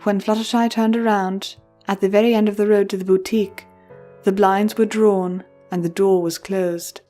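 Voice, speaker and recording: A woman's voice: mid-range voice, fairly even intonation, normal speed, dark tone, soft background music. Casting: woman storyteller for narrated fiction, mid-range voice, light musical backing.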